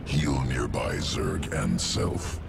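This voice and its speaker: An actor playing a cold, analytical alien geneticist creature in a video game, speaking in clipped, detached fragments with a gravelly voice. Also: male voice, expressive, takes it slowly, exaggerated voice. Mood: clinical. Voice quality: very deep gravelly monster voice. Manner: clipped fragment sentences without articles, detached. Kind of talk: video game character acting. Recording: loud music behind.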